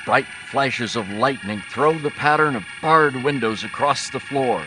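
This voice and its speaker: A man's voice: mid-range voice, measured pace, lightly acted. A man acting as the suspenseful narrator of an old-time radio adventure serial. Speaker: announcer-narrator. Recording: live stage recording with clear noise.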